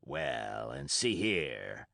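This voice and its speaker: Man reading as John Silver, a gruff old pirate cook. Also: very animated, very slow, bright, forceful tone, dry recording, clean studio sound, heavily acted. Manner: gruff, low and commanding. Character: crafty older one-legged pirate cook, gruff and menacing.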